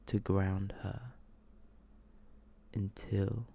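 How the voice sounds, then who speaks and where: A man speaks in a hushed, low-pitched ASMR murmur that often drops to a whisper. His delivery is slow and almost completely flat, as he reads close to the mic. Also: vocal fry, slight background noise. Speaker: ASMR video host reading short horror stories.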